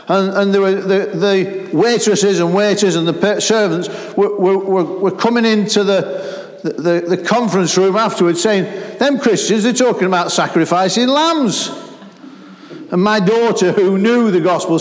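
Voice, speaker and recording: A middle-aged man with a Lancashire accent preaching a sermon, animated and calling out to the congregation. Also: sits high. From Lancashire, England. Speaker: guest preacher. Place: church hall. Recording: roomy hall with long echo.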